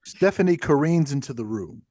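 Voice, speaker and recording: A man's voice: a little high, lively intonation, moderate pace, warm tone, no room sound. Brief: man reading stage directions, upper-middle register, dry close recording, scripted narration. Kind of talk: scripted narration for a play table read.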